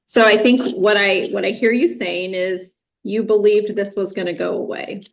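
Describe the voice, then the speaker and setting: A woman with an American accent speaks from the bench as a judge. Her voice is of medium pitch. She is formal and measured, explaining rulings firmly in unscripted courtroom speech. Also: fairly even intonation, gravelly, slight background noise, poor audio.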